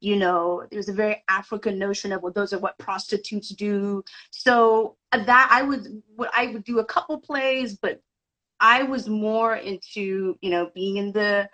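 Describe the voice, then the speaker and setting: A woman from Zimbabwe and Kenya, an interview guest telling her own story conversationally, reflectively, pausing to reword as she goes. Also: mid-range voice, fairly even intonation, sharp tone, rough recording. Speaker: interview guest, actor and director. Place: live video call.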